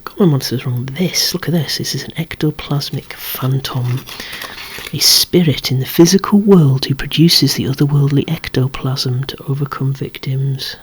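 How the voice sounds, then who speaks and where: Casting: hushed, breathy ASMR whisperer, a chatty collector showing off toys with wry, rambling asides. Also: medium pitch, normal speed, low-quality recording. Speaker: toy collector presenting own collection. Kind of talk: whispered ASMR show-and-tell of a toy collection.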